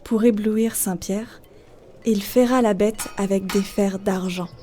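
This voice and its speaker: A woman's voice: mid-range voice, fairly even intonation, slow pace, dark tone. A woman narrating a folk tale as a scripted audio story. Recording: faint music behind. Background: faint music.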